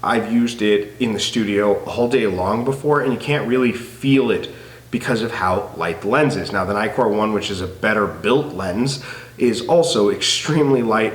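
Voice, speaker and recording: A man's voice: lowish voice, roomy sound. A man with a nasal, stuffed-up voice from a head cold, chatting enthusiastically to camera about photography gear. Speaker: photography YouTuber. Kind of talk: casual gear talk to camera.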